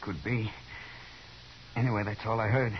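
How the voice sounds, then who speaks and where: A man's voice: lowish voice, steady tone, moderate pace, lightly acted. An actor playing a wounded, guarded frontier townsman in a 1950s radio Western, weak and in pain, with an American accent. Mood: weak, evasive. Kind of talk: 1950s radio drama acting. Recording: muffled, hissy 1950s radio recording.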